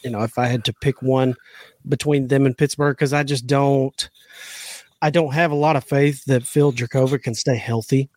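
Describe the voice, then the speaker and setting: A man's voice: medium pitch, steady tone, normal speed, darkish tone, no echo. A man co-hosting a sports betting podcast, laid-back and casually swearing, a bit under the weather. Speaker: podcast co-host.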